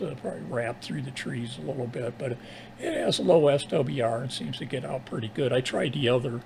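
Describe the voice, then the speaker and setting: Gravelly american guy rambling on ham radio, halting, kinda noisy in the background. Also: medium pitch, darkish tone. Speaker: amateur radio operator. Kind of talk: casual ham radio chat.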